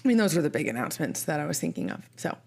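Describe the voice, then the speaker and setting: Deep-voiced woman co-host, thirties, nasal from a cold, casual and self-mocking. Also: big pitch swings, very fast, gravelly, darkish tone. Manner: chatty, laughs at her own complaints. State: congested from a cold, sniffly. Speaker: podcast co-host. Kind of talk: casual chat.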